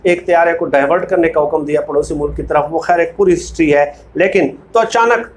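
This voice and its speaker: Pakistani guy doing political commentary, pretty high voice, emphatic, repeats himself to make points, bit of noise behind him. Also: a little fast. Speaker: political commentary video host. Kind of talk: informal political commentary, reading book excerpts.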